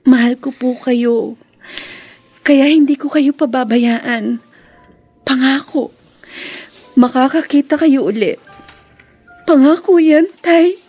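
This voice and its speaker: An actress playing a dutiful young daughter weighed down by shame, tender and earnest, in Filipino radio drama style. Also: female voice, high voice, slow, long pauses, warm tone, husky, no echo, soft background music, exaggerated voice. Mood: tender, caring. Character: young woman, dutiful loving daughter, weary bar worker burdened by shame.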